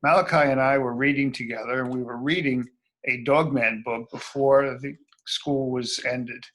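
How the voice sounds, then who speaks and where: A man reading a storybook aloud to schoolchildren in a deliberate, emphatic, expressive way. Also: mid-range voice. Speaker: children's storybook reader.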